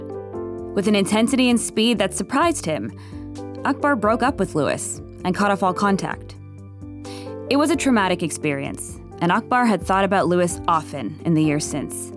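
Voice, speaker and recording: A woman delivering scripted third-person story narration as the narrator of an audio drama. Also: mid-range voice. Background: music.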